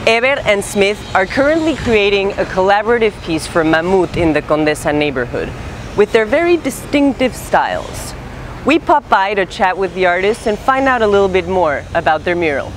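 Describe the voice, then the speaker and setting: A woman's voice: medium pitch, very animated, normal speed, a little hiss or hum. A woman in her thirties presenting scripted news items as a show host, in casual, slangy language. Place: city street, outdoors. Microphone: lapel mic. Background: music.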